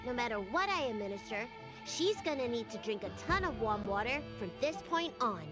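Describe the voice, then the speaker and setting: A woman voicing an excitable young girl dragon healer in a video game, expressive and easily flustered into stammering. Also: a little high, a little fast, natural voice. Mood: earnest, busy. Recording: loud game music behind. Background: game music.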